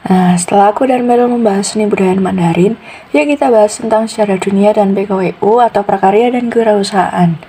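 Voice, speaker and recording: A woman's voice: mid-range voice, fairly quick, warm tone, slight background noise. A young woman with an Indonesian accent, presenting scripted educational material as a student podcast host.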